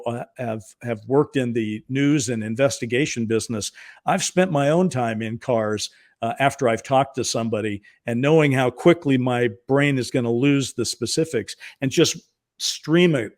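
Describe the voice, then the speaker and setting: A man's voice: medium pitch, no room sound, clean studio sound. A male podcast co-host talking casually and explaining at length as he thinks aloud. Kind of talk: casual conversational analysis.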